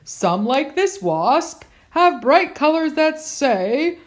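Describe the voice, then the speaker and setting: Female children's book reader: high-pitched, expressive, emphatic, unhurried storytime delivery. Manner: theatrical, emphatic, playful with sound words. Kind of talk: expressive picture-book read-aloud.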